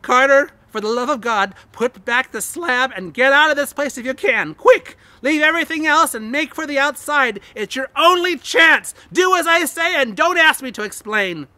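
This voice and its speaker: A man voicing a doomed, terrified man in a horror reading, with a hoarse, quivering voice and a desperate, urgent delivery. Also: very high voice, expressive, moderate pace, few pauses, sharp tone, no echo, voice pushed up, exaggerated voice. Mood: frantic warning. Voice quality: hoarse, breathy, quivering, often whispering or screaming. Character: doomed adult man, terrified yet self-sacrificing, calling from below.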